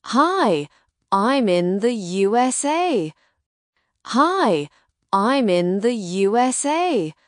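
Woman, textbook reader, slow, careful, lively pitch. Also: no echo, studio quality. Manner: slow, careful pronunciation for learners. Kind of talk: scripted textbook dialogue.

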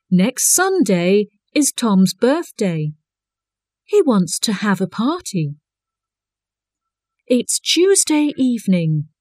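A woman reading an English textbook lesson aloud, slowly and deliberately for learners. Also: medium pitch, dry recording, studio quality. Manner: clear, deliberate, lively intonation. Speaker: textbook audio reader. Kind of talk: slow scripted reading for learners.

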